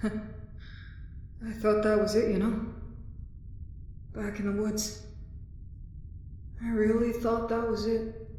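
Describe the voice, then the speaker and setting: Slow, echoing voice of a wounded woman acted. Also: medium pitch, flat delivery, mellow, lightly acted. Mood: confessional, weak. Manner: wry, halting, self-mocking. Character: wounded, wryly joking woman in a zombie apocalypse. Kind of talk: audio drama acting. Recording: very echoey hall-like reverb.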